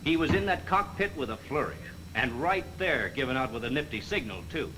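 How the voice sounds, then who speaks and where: A man's voice: medium pitch, animated, brisk, noisy background, lightly acted. A man playing a wisecracking, folksy narrator in a 1940s training film, telling cautionary tales with slangy, wry, theatrical delivery. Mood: wry, playful. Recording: old 1940s film soundtrack, loud music behind.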